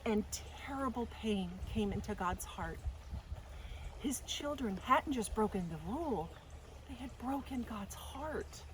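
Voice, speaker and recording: A woman reading a children's story aloud as a storytime host, with deliberate, dramatic expression. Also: a little high, rough recording. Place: outdoors in a garden. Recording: faint outdoor noise, birds or animals. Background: faint outdoor ambience.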